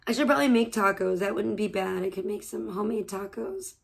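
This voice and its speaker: Hoarse, rambling woman live-streamer. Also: mid-range voice, a little fast. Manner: rambling, swears freely, laughs often. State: slightly hoarse voice. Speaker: livestreamer. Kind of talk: casual live-stream chat with viewers.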